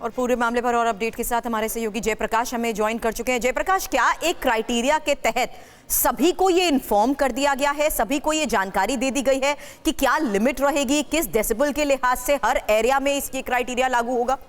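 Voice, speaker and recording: High-pitched woman news anchor, reverberant sound. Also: a little fast. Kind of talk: broadcast news presenting. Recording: reverberant studio sound over music bed.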